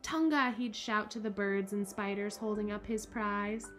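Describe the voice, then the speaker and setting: A woman in her thirties reads and chats to children in an animated, encouraging way, as a picture-book storyteller. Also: mid-range voice, a little slow, soft background music. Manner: animated, encouraging, wide-eyed emphasis. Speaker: children's story reader, camp director. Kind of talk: expressive picture-book read-aloud and chat to children. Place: small indoor playroom.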